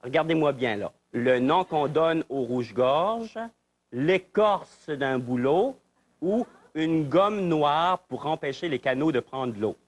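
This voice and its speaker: High-voiced, animated male game show host. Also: slow pace. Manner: jovial, exclamatory. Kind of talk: live presenting, chatty. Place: television studio.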